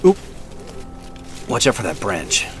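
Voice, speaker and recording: Earnest, puzzled man, swooping pitch. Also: wildly swooping pitch, slow, over music, full character voice. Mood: alert. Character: earnest, puzzled man searching woods at night for treasure. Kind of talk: naturalistic audio drama dialogue.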